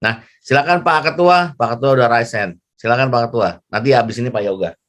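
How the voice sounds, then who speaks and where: A man leading an informal class discussion as lecturer, chatty and halting, often repeating himself. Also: mid-range voice, a bit bright. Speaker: lecturer moderating an online class.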